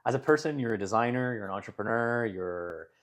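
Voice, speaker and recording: Male interviewer, slightly low pitch, casual, friendly and meandering. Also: expressive, a little fast. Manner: friendly, rambling questions with fillers. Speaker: podcast host.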